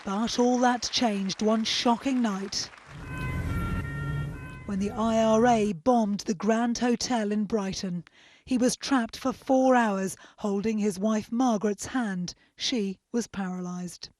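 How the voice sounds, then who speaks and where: A woman's voice: medium pitch, steady tone, slow pace, darkish tone, no room sound. A woman reporter narrating a scripted obituary for a news programme.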